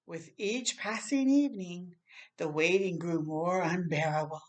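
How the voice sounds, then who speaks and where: A woman reading a children's story aloud expressively, with animated asides and questions to young listeners. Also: fairly low pitch, a little slow.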